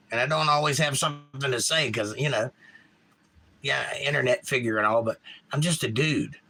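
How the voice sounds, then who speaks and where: Male stream host with a Georgia accent, bright and forward voice, loose rambling chat. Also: mid-range voice. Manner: rambling, reads chat comments aloud. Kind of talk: casual live stream chat.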